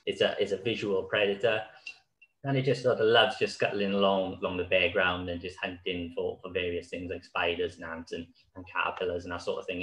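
A man in his thirties speaks over a video call, his voice very low and a little hoarse. He talks in a loose, relaxed way with many fillers, as if presenting slides. The room around him sounds echoey. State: slightly hoarse. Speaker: conservation speaker. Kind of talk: online conference talk with slides. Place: small room at home.

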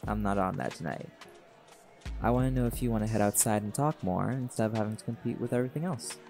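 A man's voice: fairly low pitch, fast, gravelly. An actor voicing a laid-back, teasing male jaguar shifter in an audio roleplay, blunt and flirtatious, with music playing behind.

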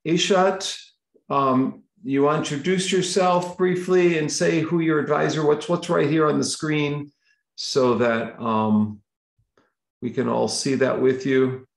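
A professor leading an online class on a video call, rambling a little with fillers. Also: male voice, a little high, steady tone, a little slow, vocal fry, echoey room.

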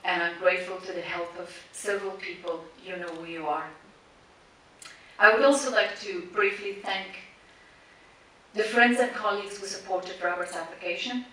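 A woman whose first language is Persian speaks in a lowish, bright voice with measured, deliberate phrasing. She is heard from a distance in a cinema hall with a strong echo and a faint hiss. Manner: measured, deliberate phrasing with listed words and pauses. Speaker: speaker introducing a tribute screening. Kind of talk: prepared memorial speech. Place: large cinema auditorium, standing on stage. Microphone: stand mic, recorded by a distant camera in the audience.

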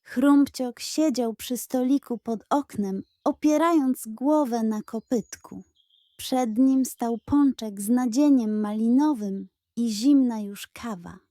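A woman's voice: a little high, takes it slowly, warm tone, no room sound, studio quality. A woman narrating a scripted children's bedtime story as a storyteller.

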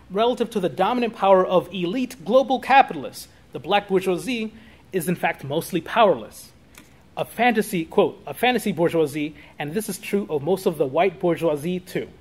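A man with a clearly high-pitched voice delivers an academic lecture. He sounds like a lecturer in his thirties working from notes, slipping into spoken asides and halting now and then to correct himself. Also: expressive. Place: small classroom or meeting room, indoors.

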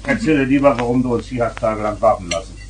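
A man's voice: medium pitch, quick pace, very noisy, lightly acted. Stern, grumpy father acted by a man. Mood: grumbling. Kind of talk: 1970s German children's radio play acting.

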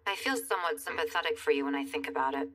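A woman playing a cool, blunt general in an animated dub, formal and dryly needling. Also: sits low, rapid-fire, far from the mic.

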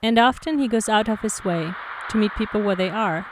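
A woman narrating a documentary voice-over, measured and inquisitive. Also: mid-range voice, slight background noise, low-quality recording. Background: music.

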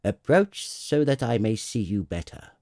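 A man's voice: on the low side, expressive, unhurried, mellow, dry recording, studio quality. A man voicing a pompous but kindly absolute king in a storybook, vain and fond of giving orders. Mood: pompous, commanding. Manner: pompous, imperious, sputtering.

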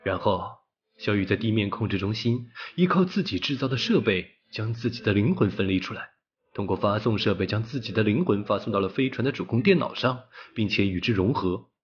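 A man's voice: lowish voice, animated, a little fast, dull audio. A man narrating a scripted audiobook as a storyteller.

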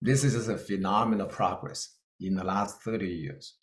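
A middle-aged man from China with a Chinese-Scottish accent, giving an earnest keynote talk with slides and pausing on fillers. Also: on the low side, roomy sound. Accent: Chinese-Scottish English. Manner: earnest, plain-spoken, with hesitant fillers. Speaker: keynote speaker.

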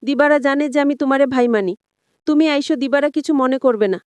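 Lady doing a sweet-talking sister in law, bengali village talk, coaxing, high voice, story. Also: a little fast, a little mellow, no echo, close to an everyday voice.

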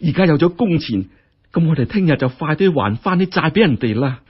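A man's voice: on the high side, animated, brisk, husky, pitched above the performer's own voice. A man voicing a humble, grateful, middle-aged poor woman in a story, earnest and deferential. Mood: excited relief. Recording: dull, muffled lo-fi audio.

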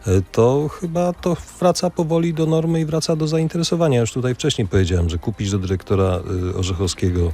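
A man's voice: a little high, fast talker, few pauses, mellow. A male radio interviewer talking conversationally, with hesitant, roundabout phrasing.

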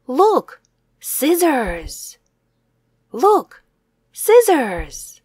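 A young woman teacher reading aloud a simple language lesson for children, saying words deliberately and separately, with exclaimed prompts. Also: sits high, wildly swooping pitch, darkish tone, no room sound, clean studio sound. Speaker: English teacher.

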